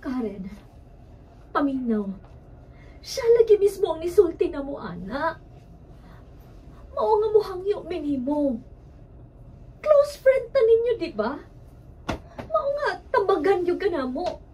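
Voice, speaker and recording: A woman acts out a concerned Cebuano friend, firm and pleading in a radio drama. The voice is very high and mellow, with lively intonation. It sits off the mic with faint noise behind it. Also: slow, long pauses, recorded from a distance, exaggerated voice. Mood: pleading, exasperated. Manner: earnest, reasoning, admonishing.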